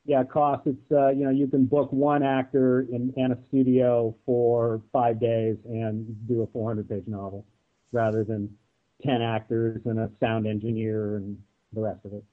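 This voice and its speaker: Halting man on a phone-like line. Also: mid-range voice, flat delivery. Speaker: interview guest, audio drama producer. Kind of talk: casual interview talk. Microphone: remote audio call. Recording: thin, phone-like internet call.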